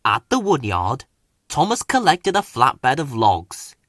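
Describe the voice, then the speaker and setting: A man's voice: medium pitch, huge pitch swings, slow, a bit bright, dry recording, clean studio sound. A man narrating a scripted children's story as a storybook reader.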